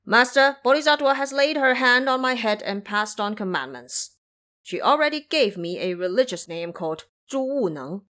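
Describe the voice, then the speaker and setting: Woman playing a loud, eager pig monster disciple. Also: fairly high pitch, lively intonation, moderate pace, voice pushed up. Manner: loud, pleading, deferential. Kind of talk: audio drama character voice.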